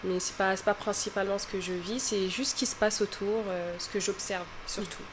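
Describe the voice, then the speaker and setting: A young woman singer from France answers interview questions, pausing often and letting her sentences trail off softly. Her voice sits at a middle pitch, and a faint hiss runs under the recording. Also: fairly even intonation. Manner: hesitant, soft-spoken, trailing off.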